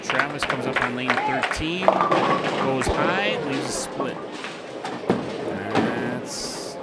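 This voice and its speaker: A man giving play-by-play commentary at a bowling tournament, his voice jumping up in pitch and volume on big shots. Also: drawn-out words, over loud music. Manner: lifts pitch and volume excitedly on strikes. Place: bowling alley. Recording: loud crowd and alley noise behind.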